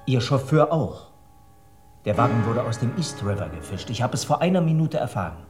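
An actor playing a police colleague reporting grim news in a German radio crime drama. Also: male voice, mid-range voice, big pitch swings, a little fast, over music, close to an everyday voice. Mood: grave.

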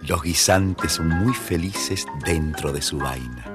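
A man's voice: a bass voice, slow, music in the background. A man narrating a scripted children's story as the storyteller.

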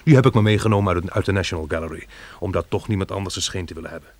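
A man acting in a radio play as a practical, dryly teasing head of a household of survivors, speaking in a measured, wry way. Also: sits low, darkish tone, natural voice. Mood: earnest, practical. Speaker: actor in a radio drama.

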